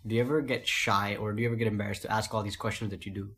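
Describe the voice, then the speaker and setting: A man's voice: fairly low pitch, steady tone, fairly bright tone. A young man hosting an interview, firing off eager questions in a casual way. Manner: eager, rapid-fire questions. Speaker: podcast host, interviewer.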